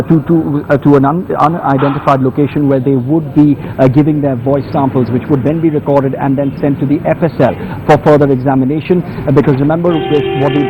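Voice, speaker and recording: A man with an Indian English accent reporting live from the field, repeating and stressing points in a halting way. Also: mid-range voice, dull audio. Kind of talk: live unscripted news report. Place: outdoors on a street outside police premises. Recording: faint outdoor street noise.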